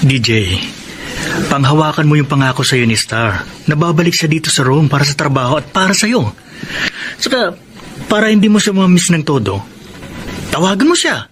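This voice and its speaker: A man's voice: on the high side, expressive, normal speed, pauses often, fairly bright tone, slight background noise. A man playing a young Filipino guy who teases and encourages his friend in a radio drama. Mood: friendly, teasing. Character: Mark, young man, teasing, encouraging best buddy.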